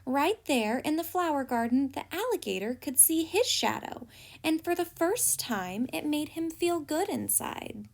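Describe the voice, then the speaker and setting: A woman reading a children's storybook aloud as a teacher would, with expressive, deliberate storytelling. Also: high voice, creaky.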